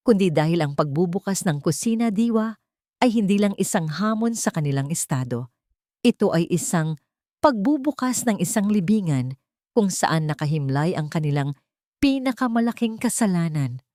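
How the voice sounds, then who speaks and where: Female storyteller, lower register, dry close sound, solemn and deliberate dramatic narration. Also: darkish tone, dry recording.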